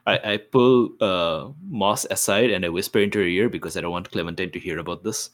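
A man's voice: sits low, fairly quick. A man playing a tabletop roleplaying game, chatting casually and describing what a character does.

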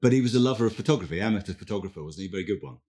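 A man hosts an interview podcast, talking quickly in a husky, fairly low voice. He keeps the questions moving in a brisk, chatty way. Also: lively intonation, mellow. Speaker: podcast host. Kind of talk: interview host chat.

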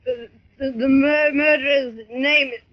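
A woman's voice: high voice, steady tone, a little slow, dry recording. An actress playing a frightened, hard-boiled woman who drinks and talks slangy and defiant, in old radio drama style. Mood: shaky. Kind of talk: 1940s radio drama acting. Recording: muffled vintage radio recording.

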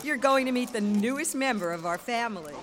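Woman as an expressive, high-voiced suburban mom. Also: a little fast, natural voice. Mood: exasperated. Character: wry, gently exasperated suburban mother of teenagers. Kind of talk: live stage audio drama acting.

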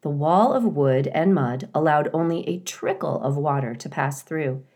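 A woman narrates a children's book in a slightly low voice. Her scripted storybook reading is animated, with lively movement in pitch.

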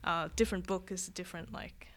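A woman's voice: fairly low pitch, fairly even intonation, quick pace. A woman from Vietnam, a guest illustrator, chatting casually in an interview. She rambles and corrects herself, with many "like" and "um".

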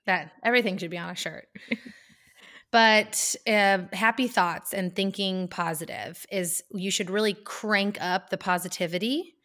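A woman's voice: mid-range voice, unhurried, fairly bright tone. A woman in her thirties co-hosting a podcast, upbeat and encouraging in a casual chat. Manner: upbeat and encouraging, with fillers and restarts. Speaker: podcast co-host.

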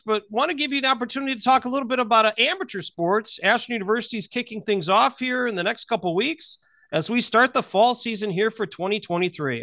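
A man's voice: high voice, sharp tone, no echo, studio quality. A middle-aged American man talking casually as a podcast co-host. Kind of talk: casual chat.

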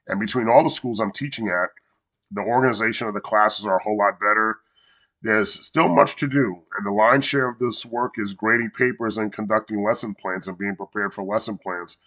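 A man's voice: fairly low pitch, fairly even intonation. A man hosting his own podcast in a casual, earnest monologue, sounding congested from a cold. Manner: warm, measured and earnest, with small restarts. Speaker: podcast host and coach.

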